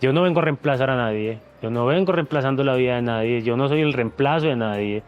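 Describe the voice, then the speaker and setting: Man, earnest, reflective, halting repeats, medium pitch, soft music behind. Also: clean tone, lo-fi sound. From Venezuela, raised in Colombia. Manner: earnest and reflective, repeats words as he searches for them. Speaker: interview guest, former reality show contestant. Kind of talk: emotional sit-down interview.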